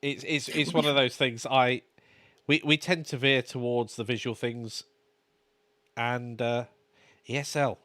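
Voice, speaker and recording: A man co-hosting a casual live stream, easygoing and quick to laugh. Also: medium pitch, steady tone, bright, forceful tone. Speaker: co-host.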